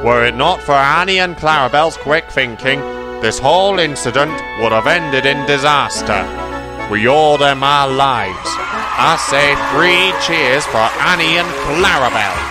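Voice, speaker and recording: A man's voice: medium pitch, a little slow, loud music behind the voice, voice pushed up, close to an everyday voice. A man voicing a stern, fair-minded male railway boss in a children's story, speaking formally and emphatically. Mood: proud, rousing. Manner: formal, emphatic speech-making.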